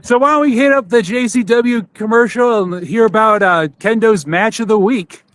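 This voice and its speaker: A man's voice: high-pitched, moderate pace, fairly bright tone. A man hosting a podcast in casual chat, talking in a loose, informal, rambling way. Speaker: podcast host.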